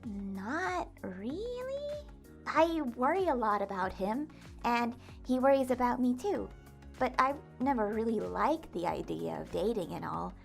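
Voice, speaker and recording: A woman playing a modest, gentle and slightly defensive young woman, hesitant and earnest, in an audio drama. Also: a little high, animated, a little slow, gravelly, faint music behind.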